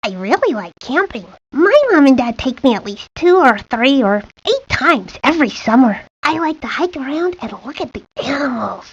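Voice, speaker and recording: A woman's voice: high-pitched, sing-song, normal speed, hardly any pauses, slightly rough, no room sound, full character voice. A woman voicing a chatty, outdoorsy young girl in a cartoon video game. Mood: chatty, earnest. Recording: muffled lo-fi game audio.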